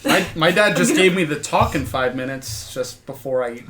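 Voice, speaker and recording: A man's voice: a little high, normal speed, over a noisy crowd. A man chatting casually as a player in an improvised tabletop roleplaying game.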